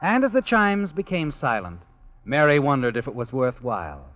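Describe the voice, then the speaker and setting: A man's voice: medium pitch, very animated, moderate pace, natural voice. Casting: man to play ominous, all-knowing suspense narrator, insinuating, theatrical, dull old radio recording with prominent music. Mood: brooding. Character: ominous, knowing male storyteller who walks by night. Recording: muffled 1940s radio, music behind.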